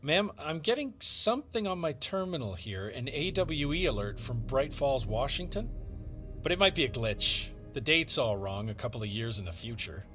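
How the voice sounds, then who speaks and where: A man's voice: mid-range voice, sing-song, normal speed, fairly bright tone, soft background music. An actor playing a courteous, cautious agency operations man in a video game, explaining things conscientiously and deferentially. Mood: puzzled, alert. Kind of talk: scripted video game dialogue.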